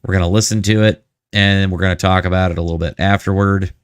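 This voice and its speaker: A man's voice: sits low, fairly even intonation, quick pace, clear, resonant tone, no room sound. A man hosting a live stream with casual, joking commentary and asides to his chat.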